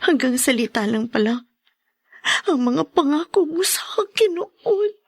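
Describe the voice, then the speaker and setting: An actress playing a hurt, suspicious young wife in a Filipino drama, with a breathy voice and Filipino accent. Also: female voice, high-pitched, very animated, a little slow, no echo, heavily acted. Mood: sorrowful, whispering. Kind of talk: Filipino radio drama acting.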